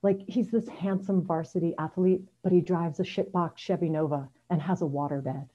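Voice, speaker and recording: A woman's voice: fairly low pitch, steady tone, moderate pace, mellow. A middle-aged woman reading a personal story aloud over a video call, with a wry, self-deprecating manner. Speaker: amateur storyteller at a story slam. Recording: dull, lo-fi video call audio.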